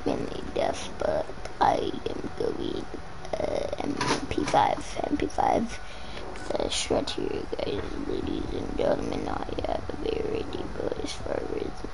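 High lively woman streamer rambling over her game, gravelly voice, noisy background. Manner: rambling, playful, hums nonsense syllables. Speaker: gaming YouTuber. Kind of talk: casual gameplay commentary.

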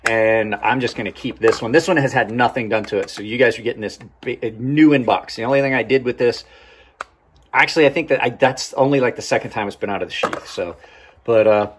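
A man in his forties with a slightly husky voice gives a casual, rambling product review, often repeating words and backtracking. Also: medium pitch, brisk, slight background noise. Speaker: host of knife review channel.

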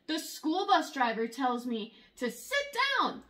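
A young woman reading a children's picture book aloud in an expressive, exaggerated storytelling way. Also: high voice, takes it slowly. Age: young adult. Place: small room at home, indoors.

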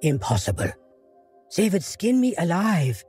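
Commanding woman soldier in disguise, old-fashioned speech, lively pitch, voiced by a man. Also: a little slow, husky, soft background music, heavily acted. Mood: hushed.

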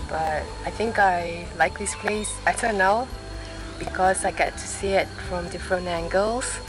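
A middle-aged woman from Singapore talks cheerfully about her trip and breaks into laughs as she goes. Steady music sits clearly behind her voice. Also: on the low side, unhurried. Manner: cheerful, laughing between phrases. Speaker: workshop participant. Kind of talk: casual interview answers. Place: outdoor bamboo veranda. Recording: music playing underneath.

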